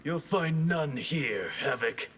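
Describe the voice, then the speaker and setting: A man voicing a proud, stern ninja warrior in a video game, gravelly and clipped in his delivery. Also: a little high, lively intonation, takes it slowly, fairly bright tone, slight background noise. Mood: stern, scornful. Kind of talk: video game character dialogue.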